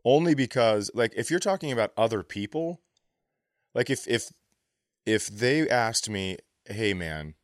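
A man's voice: lowish voice, animated, measured pace, dry recording, clean studio sound. A man bantering casually as a comedy podcast co-host, teasing and blunt, with sardonic, sweary delivery.

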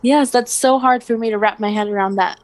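A woman telling personal stories in a relaxed, casual way. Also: a little high, brisk. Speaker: guest. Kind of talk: casual storytelling.

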